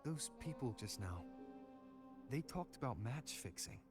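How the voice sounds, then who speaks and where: A man's voice: mid-range voice, big pitch swings, a little slow. An actor voicing an earnest, reserved young male warrior in a video game, speaking briefly and questioningly. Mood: earnest, calm. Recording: quiet game audio under loud music. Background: game music.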